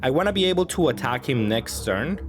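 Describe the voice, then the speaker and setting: A man's voice: medium pitch, animated, a little fast, over music. A man giving casual, unscripted card game commentary as a host, thinking aloud with some hesitation.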